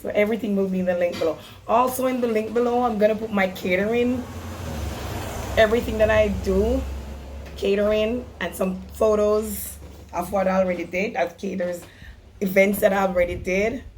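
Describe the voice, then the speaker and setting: A woman from Jamaica, hoarse, chatting casually through a DIY craft tutorial and explaining each step. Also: mid-range voice, steady tone, moderate pace, darkish tone, slight background noise, low-quality recording. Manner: chatty and instructive, apologetic about her voice.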